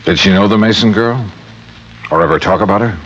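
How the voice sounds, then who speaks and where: Low-voiced radio detective played by a man, dull vintage sound. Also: a bit bright, slight background noise, close to an everyday voice. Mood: courteous probing. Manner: measured, polite, probing questioning. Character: male insurance investigator, probing, cool and businesslike. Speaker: lead investigator and narrator. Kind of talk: old-time radio detective drama acting and narration. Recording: dull old-time radio recording with music.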